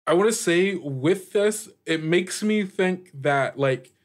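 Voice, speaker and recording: A man's voice: high voice, animated, slow pace. A man narrating a tabletop game as game master, thinking aloud in a conversational way.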